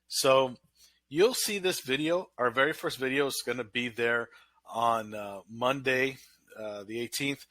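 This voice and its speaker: A man's voice: medium pitch, measured pace, fairly bright tone, dry recording. A man, a college instructor, talks through an online course in a casual, rambling way with many fillers. His voice is nasal from an illness. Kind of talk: recorded online course walkthrough.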